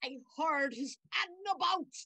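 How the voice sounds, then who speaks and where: A woman playing a frightened, paranoid old lady of a great house in a gothic audio drama, agitated and halting. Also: high voice, pushed and bright, full character voice. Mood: fearful insistence. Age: older.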